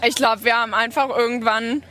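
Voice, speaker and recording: Give me a woman athlete, bright flat voice, breathless answers. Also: on the high side, fairly quick. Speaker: athlete interviewed.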